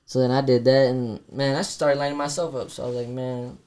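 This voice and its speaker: A man working as a barber, guest on a podcast, telling a story in a soft-spoken, laid-back way and calling everyone "bro". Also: medium pitch, little pitch movement, fairly quick, smooth. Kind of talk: casual storytelling.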